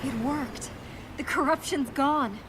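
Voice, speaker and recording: Fantasy game heroine: earnest, resolute, somewhat high voice, purposeful delivery, heavy background noise. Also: female voice, slow pace. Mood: serious. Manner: serious, purposeful, explanatory. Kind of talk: video game dialogue acting.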